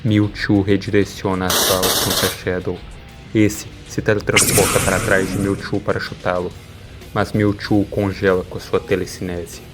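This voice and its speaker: A man's voice: low-pitched, level tone, moderate pace, over loud music. A man with a Brazilian accent reading dramatic scripted narration.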